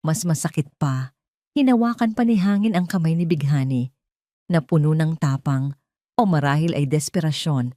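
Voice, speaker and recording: A woman's voice: low voice, normal speed, warm tone, no room sound, studio quality. A woman with a Filipino accent narrating a scripted story in an emotive, theatrical way. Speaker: storyteller narrating an audio drama.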